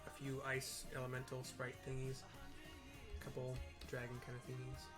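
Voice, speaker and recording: A man's voice: mid-range voice, completely flat delivery, normal speed. A man giving a casual, rambling, mildly sweary play-by-play of a game, describing the scenes as he goes. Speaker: gamer narrating a playthrough. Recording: game music behind the voice. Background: video game music.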